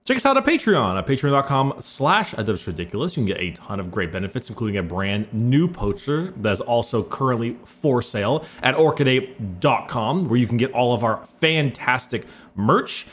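A man's voice: mid-range voice, sing-song, moderate pace, few pauses, a bit bright. A man hosting a podcast, opening the show and chatting casually with crude jokes. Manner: crude, joking. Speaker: podcast host. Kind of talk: casual chat.

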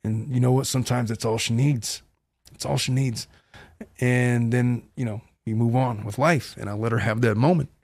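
A middle-aged man with a gravelly, fry-edged voice delivers a blunt, earnest podcast monologue. He circles back and restarts his thoughts as he goes. His voice sits at an ordinary pitch and sounds close to the mic in a dry, dead room. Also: steady tone, moderate pace, darkish tone, dry recording. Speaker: podcast host and family law attorney.

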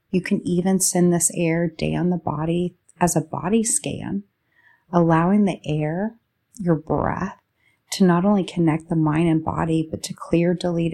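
A woman leading a guided meditation, speaking soothingly in short, drawn-out phrases with a soft-edged, creaky voice. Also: fairly low pitch.

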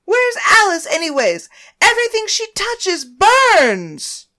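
A woman's voice: very high-pitched, wildly swooping pitch, takes it slowly, pushed and bright, voice pushed up, exaggerated voice. A woman reading a story voices a grieving, furious woman who screams accusations, shrieking and near tears. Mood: enraged screaming.

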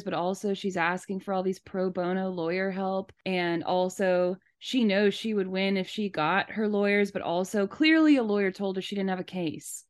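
A woman gives casual, opinionated podcast commentary. She speaks emphatically and in long runs at a middling pitch, close to the microphone with no room sound. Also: dry recording. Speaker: podcast host.

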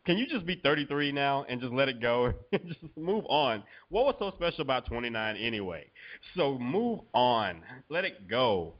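A man's voice: mid-range voice, very animated, normal speed. Jokey blunt guy on a podcast, laughs a lot, kinda dull lo-fi sound. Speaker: podcast co-host, fitness coach. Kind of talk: casual podcast chat.

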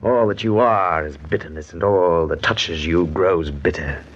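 Jealous aristocrat for vintage radio play: lowish register, grand, brooding, commanding delivery, dulled archival sound. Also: male voice, a little slow. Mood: bitter brooding. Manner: theatrical, imperious, musing. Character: bitter, jealous nobleman brooding over wine and betrayal. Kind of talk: old-time radio drama acting. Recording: muffled old radio recording, faint noise.

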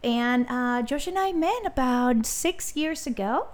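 A young adult woman from Colombia with a Colombian accent, a guest speaking in a casual interview in a halting way with many fillers. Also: high voice, expressive. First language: Spanish.

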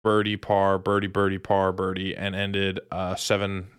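A man's voice: sits low, completely flat delivery, moderate pace, clear, resonant tone, no room sound, clean studio sound. A young American man from Dallas co-hosting a sports podcast, chatting casually about golf in slangy, informal talk. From Dallas, Texas, United States.

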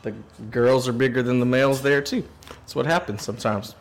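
A man co-hosting a podcast, answering in a hesitant, halting way. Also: medium pitch. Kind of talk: casual podcast chat.

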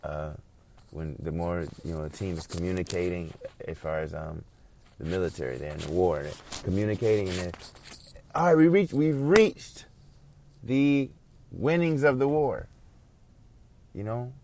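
A man's voice: deep voice, animated, moderate pace, long pauses, darkish tone, slight background noise. A man preaching an informal sermon, earnest and reflective, repeating and building on his phrases.

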